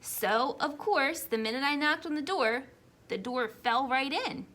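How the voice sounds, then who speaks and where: A woman's voice: high voice, expressive, measured pace, a bit bright. A woman voicing a male wolf in a children's storybook, chatty and emphatic, telling his side and protesting his innocence. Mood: indignant, confiding. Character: male wolf storyteller, aggrieved, folksy, self-excusing. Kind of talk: children's storybook read-aloud.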